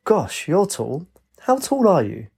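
A man's voice: medium pitch, sing-song, moderate pace, vocal fry, a little mellow, slightly rough, full character voice. A man voicing a spirited, determined female adventurer with an eager, direct manner in a story. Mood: curious.

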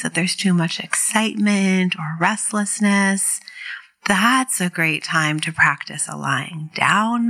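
A woman teaching guided meditation in a gentle, encouraging, unhurried way. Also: lowish voice. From New England, living there. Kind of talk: guided meditation instruction to an online community.

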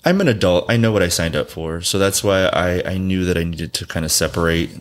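A man's voice: low-pitched, a little fast. A man from Southern California, a guest in an interview, telling his life story bluntly and candidly, swearing freely and laughing often.

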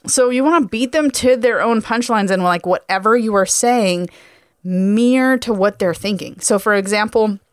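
A woman's voice: mid-range voice, moderate pace, smooth, no room sound, studio quality. A woman hosting a coaching podcast, talking informally in a slangy, emphatic, conversational way. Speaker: podcast host and car sales coach.

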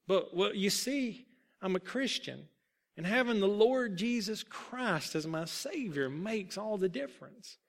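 A man's voice: high-pitched, animated, a little slow, creaky, heavily acted. A man voicing a humble young boy ballplayer in a storybook, speaking in an earnest, polite, hesitant way.

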